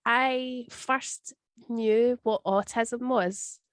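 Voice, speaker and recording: A Scottish woman in her thirties whose voice is husky from a cold. She talks in a chatty, wry way and acts out the people in her stories. The sound is dry and close, with no room around it. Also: on the high side, fairly even intonation, extremely slow, no room sound. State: husky voice from a cold, sniffling and coughing. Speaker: guest. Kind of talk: casual interview answers. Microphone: video call.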